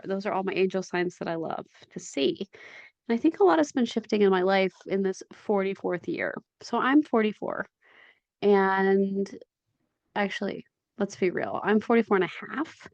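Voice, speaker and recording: A woman's voice: medium pitch, gravelly, darkish tone, muffled recording. A woman in her forties hosting her own podcast, talking from home in a candid, reflective, conversational way. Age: 40s. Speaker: podcast host. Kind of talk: solo podcast monologue.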